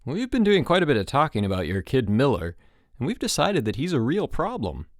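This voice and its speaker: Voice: medium pitch, wildly swooping pitch, brisk, dry recording, studio quality, pitched above the performer's own voice. Narrator as a reassuring, resolute head counselor. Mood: soothing. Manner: soothing, resolute. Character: calm, determined male head camp counselor, reassuring.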